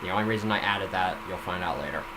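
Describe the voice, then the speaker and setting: A man's voice: sits low, quick pace. A man giving a casual, amateur story reading, stumbling at times and slipping in informal comments of his own. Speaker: reader of a self-written story. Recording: noisy home microphone recording.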